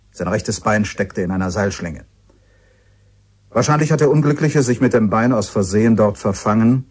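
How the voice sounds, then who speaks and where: A man playing a grave radio reporter in a radio play, speaking in a measured, solemn way with a husky voice. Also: on the low side, darkish tone.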